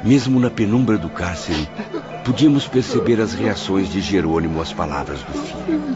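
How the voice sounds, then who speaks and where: A man's voice: sits low, huge pitch swings, normal speed, lo-fi sound, over loud music. A man playing a solemn witness who narrates a radio drama in a literary, dramatic style. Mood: grave, sombre. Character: grave first-person narrator, witness in the afterlife.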